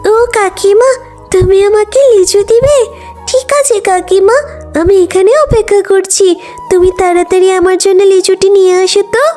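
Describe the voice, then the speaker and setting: A woman's voice: very high-pitched, steady tone, normal speed, dark tone, voice pushed up. A woman voicing an eager little animal girl in a cartoon, childlike and wheedling, with music behind.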